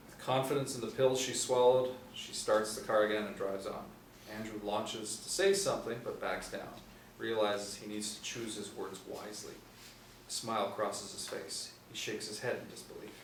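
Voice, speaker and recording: A middle-aged man narrates stage directions from a script. His voice sits fairly low. The sound is roomy, with faint noise behind him. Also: steady tone, normal speed. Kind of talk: scripted narration of screenplay action. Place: small indoor room, seated at table read. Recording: roomy, distant mic, noisy ambience.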